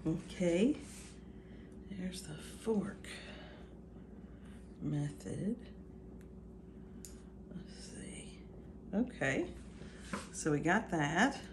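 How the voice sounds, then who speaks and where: Slow-talking woman in an echoey kitchen. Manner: unhurried, self-doubting asides, lively pitch. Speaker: home cooking vlogger. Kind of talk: casual cooking demonstration. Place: home kitchen, indoors. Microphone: far camera. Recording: echoey kitchen, camera far off, background noise.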